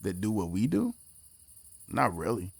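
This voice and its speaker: A low-key, weary man in his forties in a sci-fi audio drama. His voice is very deep, husky and full of gravel. He holds himself in reserve. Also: very animated, unhurried, dry recording, exaggerated voice. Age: 40s. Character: laid-back, weary forty-four-year-old male time leaper. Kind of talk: naturalistic audio drama dialogue.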